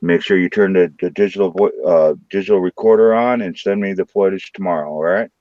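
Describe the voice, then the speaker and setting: A man with a St. Louis accent hosting a late-night live stream from his room, joking loudly and swearing freely. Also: low-pitched, brisk, no room sound, highs cut off. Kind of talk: casual live stream chat.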